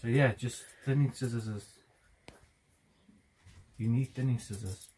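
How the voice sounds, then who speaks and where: A man's voice: medium pitch, steady tone, unhurried, mellow, breathy edge. Rambling young man cutting hair. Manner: rambling, joking, swears offhand. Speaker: barber. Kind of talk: casual step-by-step tutorial while cutting hair. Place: inside a parked van.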